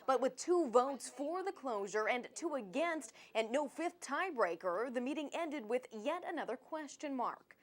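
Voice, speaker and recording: American female TV news reporter, higher-pitched, scripted narration. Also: expressive, normal speed, few pauses.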